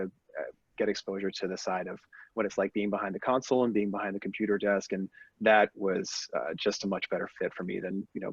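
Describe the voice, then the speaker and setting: A man's voice: on the low side, breathy edge, no room sound. A man in his thirties, a music producer, introducing himself on a call in an easygoing, slightly self-deprecating way. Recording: dull lo-fi call audio.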